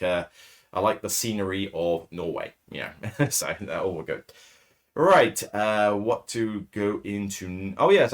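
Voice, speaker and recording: A man with a raspy, hoarse voice, hosting a solo podcast in casual, rambling talk full of fillers and self-corrections. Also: fairly low pitch. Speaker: podcast host. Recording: distorted, too-loud mic.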